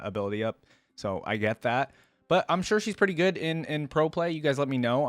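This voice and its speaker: A man's voice: on the low side, no echo. A man giving casual reaction commentary as the host of a video.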